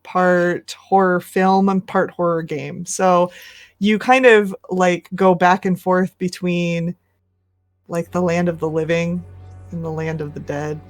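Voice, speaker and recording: A podcast guest chatting casually and a little hesitantly about video games. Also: mid-range voice. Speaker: podcast guest who plays games for an online audience.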